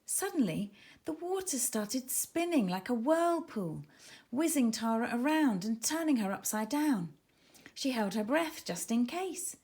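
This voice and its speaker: A woman's voice: fairly high pitch, sing-song, unhurried, darkish tone. A woman narrating a children's story expressively, with dramatic emphasis as she reads. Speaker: author reading own children's book.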